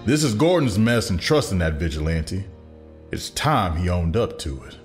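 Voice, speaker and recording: A man's voice: deep voice, lively intonation, moderate pace, faint music behind. A man playing a determined, hard-line district attorney in an audio drama, speaking in firm declarations. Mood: determined, indignant.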